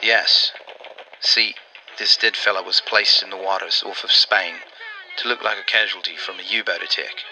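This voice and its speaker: An actor playing an earnest, persuasive Allied intelligence operative in a 1940s-style radio drama, explaining things deliberately. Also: male voice, low-pitched, fairly even intonation, bright, forceful tone, slight background noise, low-quality recording. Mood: explanatory.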